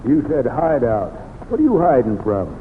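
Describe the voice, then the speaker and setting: Male actor as bossy wary outlaw leader, tough folksy delivery, middle pitch, radio western, tinny reverberant recording. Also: big pitch swings, moderate pace, slight background noise, close to an everyday voice. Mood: suspicious. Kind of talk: old-time radio western acting.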